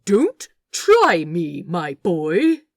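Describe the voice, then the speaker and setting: A woman's voice: fairly low pitch, wildly swooping pitch, extremely slow, no room sound, clean studio sound, exaggerated voice. A woman voicing a male angel who keeps a bookshop, prim, fussily polite and indignant, in clipped, prissy phrases. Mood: dark warning.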